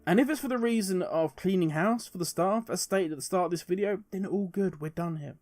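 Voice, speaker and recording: Man, high-pitched voice, video host, earnest emphatic commentary, rhetorical questions, faint background music. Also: fairly quick, darkish tone. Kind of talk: opinion commentary to viewers.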